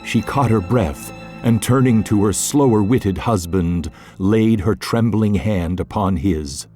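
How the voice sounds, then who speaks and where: A man reading scripted literary narration for an audio drama in a measured way. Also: on the low side, mellow, soft background music.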